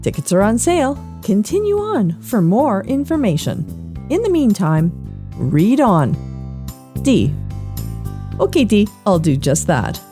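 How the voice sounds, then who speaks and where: A woman's voice: medium pitch, huge pitch swings, a little slow, pauses often, dark tone, faint music behind. A woman reading a scripted newsletter for a podcast as its presenter.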